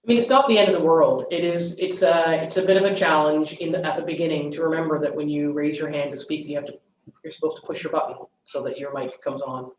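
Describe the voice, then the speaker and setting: A man with a somewhat high voice chairs a council meeting, giving his frank opinions and handing the floor to others. He is heard over a video call in an echoey room with faint background hiss. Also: steady tone, quick pace, muffled recording. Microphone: video conference mic.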